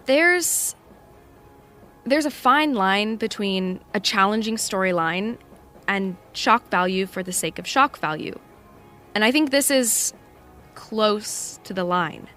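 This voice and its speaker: A woman's voice: medium pitch, lively intonation, slow pace, a bit bright, soft background music. A woman playing a shrewd, opinionated TV colleague with a pointed, sarcastic manner, in a naturalistic audio drama. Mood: careful.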